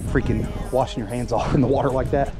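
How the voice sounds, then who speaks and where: A young man vlogging while fishing outdoors by the water, excitable and quick to laugh. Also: medium pitch, music in the background. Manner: excitable, shouting and laughing at catches.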